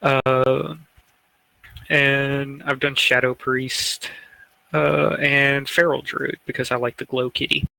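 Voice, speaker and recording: A man's voice: medium pitch, level tone, takes it slowly, vocal fry, pushed and bright, dry recording, low-quality recording. A man chatting casually as a co-host on a gaming podcast.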